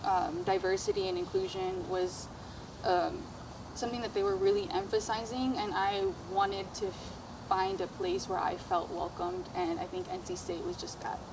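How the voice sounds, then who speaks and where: A young woman from Texas speaks thoughtfully, filling her pauses with "um". Her voice is of middling pitch, and steady background noise runs under it. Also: vocal fry. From Texas, United States. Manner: measured, many "um"s. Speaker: veterinary student. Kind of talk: casual group interview.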